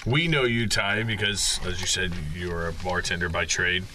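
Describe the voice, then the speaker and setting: A man's voice: low-pitched, normal speed, bright, forceful tone, slight background noise. A man co-hosting a casual podcast interview, talking in a rambling, chatty way. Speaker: podcast co-host, bartender.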